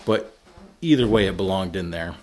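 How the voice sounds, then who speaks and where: A man with a very low, deep voice hosts a casual film-discussion podcast. He talks in a relaxed, loose way and thinks out loud with fillers as he goes. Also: normal speed. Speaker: podcast host.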